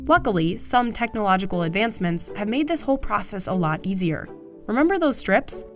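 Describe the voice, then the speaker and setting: Woman narrating over soft music. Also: medium pitch, animated, a little fast. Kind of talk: scripted documentary narration.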